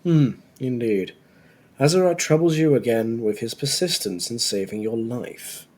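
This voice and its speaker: A man voices a husky tiger-man scholar from a fantasy audiobook. He is dry and world-weary, with a formal, measured turn of phrase and a mid-pitched male voice. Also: darkish tone, natural voice. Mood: dry, courteous. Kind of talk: audiobook character dialogue.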